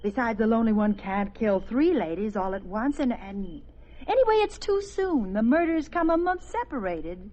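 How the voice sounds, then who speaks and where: Radio drama: brisk, persuasive American maiden lady, high lively voice, muffled vintage sound. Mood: brisk, insistent. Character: strong-willed, self-possessed small-town maiden lady. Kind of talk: mid-century American suspense radio acting. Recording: muffled old radio broadcast recording.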